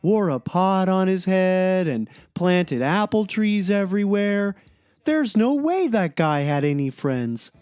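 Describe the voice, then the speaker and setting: A whimsical, lonely oddball voiced by an actor muses through a comic blog reading. The pace is slow and the pitch lively, recorded dry and close. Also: no echo.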